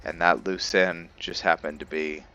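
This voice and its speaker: Deep-voiced man doing casual game commentary. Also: level tone, normal speed, sharp tone, clean tone, poor audio. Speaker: gaming video host.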